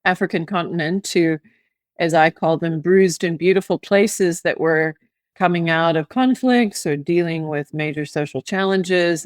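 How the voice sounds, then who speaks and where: A woman's voice: deep voice, dry recording. A woman in her fifties with an American Midwestern accent, thoughtfully telling her story as an interview guest. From Midwestern United States. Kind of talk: interview answers.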